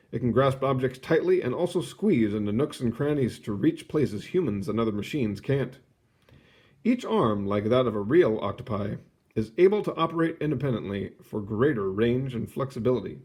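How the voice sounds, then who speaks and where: A man reading a children's nonfiction book aloud, phrasing it clearly and adding short casual asides. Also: medium pitch, a little mellow. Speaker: read-aloud host.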